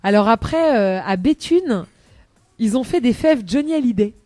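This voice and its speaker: A woman's voice: on the high side, lively intonation, normal speed, dark tone, no room sound. A woman chatting on a live radio show as a columnist, rambling with many hesitations and laughing often, into a studio microphone.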